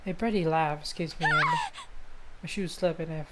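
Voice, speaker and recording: A woman's voice: lowish voice, wildly swooping pitch, normal speed, very noisy. A woman livestreaming a game, reading story narration aloud and breaking off into informal asides, sometimes stumbling over words. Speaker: game streamer. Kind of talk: livestream let's play, reading visual novel narration aloud with casual asides. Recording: loud music under the voice. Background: loud game music.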